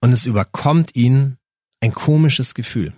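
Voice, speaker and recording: Ein Mann mit bairischem Akzent, Co-Moderator eines Podcasts, der verspielt und witzelnd eine Geschichte erzählt. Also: male voice, mid-range voice, unhurried, darkish tone, no echo, studio quality. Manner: playful storyteller, jokey asides. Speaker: podcast co-host. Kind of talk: casual chat, telling a story.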